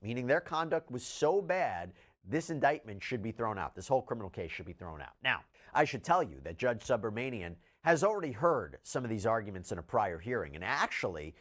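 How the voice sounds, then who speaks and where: A man working as a legal commentator, reading filings aloud and explaining them in an articulate way, stressing key words. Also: mid-range voice.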